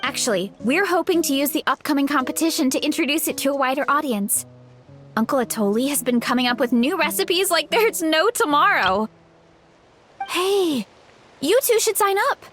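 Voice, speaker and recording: Easygoing, food-loving young warrior woman, high and giggly, faint music behind. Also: animated, normal speed, fairly bright tone. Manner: easygoing, giggly, a little absent-minded. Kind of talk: game voice acting. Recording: game audio with faint music.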